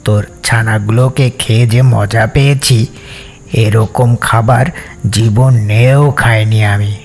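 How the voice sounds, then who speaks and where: A man playing a wicked, greedy, scheming fox in a cartoon fable, with a menacing, taunting, drawn-out delivery. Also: lowish voice, steady tone. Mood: gloating.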